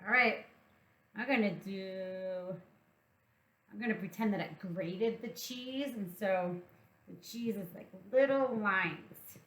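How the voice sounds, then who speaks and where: A woman's voice: fairly high pitch, a bit bright, roomy sound, rough recording. A woman hosting a playful children's storytime, speaking to young children in an encouraging, sing-song way as they draw along. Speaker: children's storytime teacher and host.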